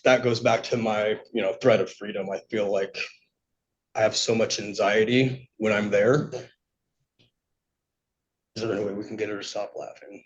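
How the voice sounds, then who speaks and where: A man giving unscripted testimony to a judge, speaking in a halting, polite way. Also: lowish voice, steady tone. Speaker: petitioner testifying in court. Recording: dull, lo-fi courtroom audio.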